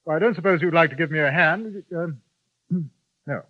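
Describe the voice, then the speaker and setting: A man playing a polite English gentleman reporter. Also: on the high side, normal speed, close to an everyday voice. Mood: wry. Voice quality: polite and understated. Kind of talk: 1950s radio drama acting with narration. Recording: dull lo-fi 1950s radio recording with music.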